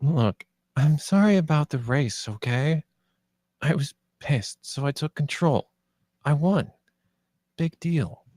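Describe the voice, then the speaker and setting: A woman's voice: deep voice, expressive, slow, long pauses, warm tone, no room sound, clean studio sound, full character voice. A woman reading the part of a tough, tired teenage girl who looks after orphaned kids, terse and guarded, at a screenplay table read. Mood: grudging apology.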